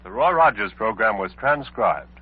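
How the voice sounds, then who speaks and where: A man's voice: on the low side, lively intonation, moderate pace, very noisy. A man reading formal network announcements in 1940s wartime radio style. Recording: thin phone-like old radio recording.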